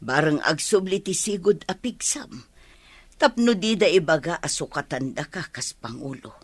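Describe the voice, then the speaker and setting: An actress with a Filipino accent playing an older village mother in a radio drama, caring and anxious about her family, with a husky voice. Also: low-pitched, animated, normal speed, no room sound. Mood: worried. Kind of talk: Ilocano radio comedy drama acting.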